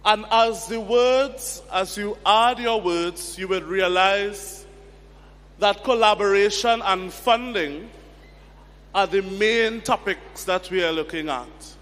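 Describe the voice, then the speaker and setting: A man's voice: sits high, slow, a bit bright. A man chairing a youth session, prompting the audience in a conference hall. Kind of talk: moderating a youth panel. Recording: hall reverb.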